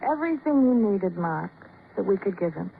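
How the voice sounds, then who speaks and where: Brittle American wife, scratchy old radio. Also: female voice, mid-range voice, big pitch swings, normal speed, gravelly. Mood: insistent, confessing. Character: distraught, controlling wife confessing to a killing. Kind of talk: 1950s radio drama acting. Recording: scratchy old radio broadcast, narrow band, hiss.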